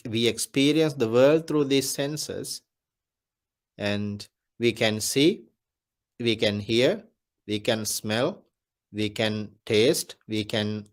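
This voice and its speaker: A Buddhist monk giving a Dhamma talk, speaking deliberately and repeating his key phrases. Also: medium pitch.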